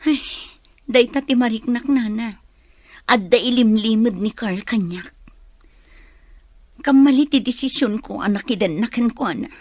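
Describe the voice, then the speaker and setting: Woman acting a hurt, suspicious wife, high voiced. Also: moderate pace, pauses often, a little mellow, dry recording, clean studio sound, lightly acted. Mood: sorrowful. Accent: Filipino. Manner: emotional, pleading, accusing. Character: hurt, suspicious wife confronting her straying husband. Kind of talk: Filipino radio drama acting.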